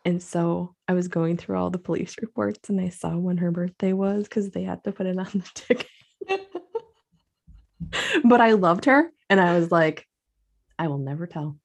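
A woman's voice: on the low side, moderate pace, creaky, pauses often. A woman co-hosting a podcast, chatting casually with her sister, with lots of fillers and easy laughter. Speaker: podcast co-host. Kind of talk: casual chat.

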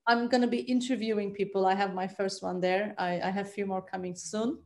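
A woman whose first language is Turkish speaks gently and earnestly as a trauma therapist guest in a casual interview, often pausing and hesitating. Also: mid-range voice, steady tone. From Turkey.

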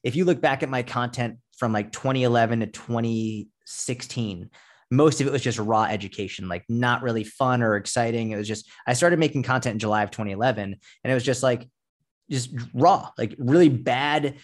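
A man in his thirties talks in a relaxed podcast conversation. His medium-pitched voice lays out points emphatically, like a coach explaining his trade, with casual swearing mixed in. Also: a little fast. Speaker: fitness coach and content creator, interview guest.

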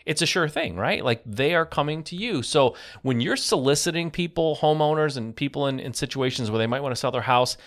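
A man's voice: mid-range voice, fairly quick, few pauses, a bit bright. A man hosts a casual podcast interview. He keeps the talk moving with easy questions and short, agreeable replies. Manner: affirming, frequent short agreements. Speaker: podcast host.

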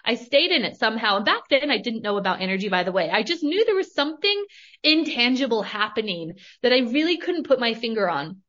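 A woman's voice: on the high side, sing-song, brisk, a bit bright. Woman, thirties, motivational podcast host, emphatic, slightly dull audio. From Northern California. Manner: emphatic, repeats phrases, mild swearing. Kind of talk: motivational storytelling.

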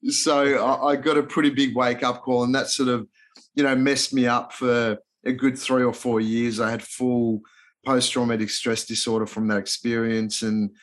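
A man's voice: mid-range voice, little pitch movement, moderate pace. Laid-back Australian man, slangy. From Hungary. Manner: laid-back, slangy, many fillers and restarts. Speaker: guest, yoga and mindfulness coach. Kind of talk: casual podcast interview.

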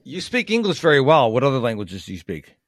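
A man from the United States hosting a podcast, chatting casually and telling animated stories with asides. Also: mid-range voice, fast talker, dry recording. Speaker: podcast host. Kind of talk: casual interview chat.

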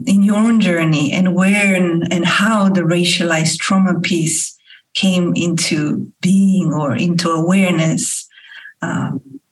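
A woman co-hosting a podcast, asking long, searching questions with many pauses and fillers. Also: lowish voice, rough recording. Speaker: podcast co-host and filmmaker. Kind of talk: interview questions, conversational.

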